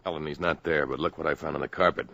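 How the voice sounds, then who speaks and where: An actor playing a concerned, hesitant family friend in a 1950s radio drama. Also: male voice, rumbling low, steady tone, quick pace, gravelly, sharp tone, muffled recording, exaggerated voice. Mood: worried. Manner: hesitant, worried. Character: concerned grown man, calm family friend.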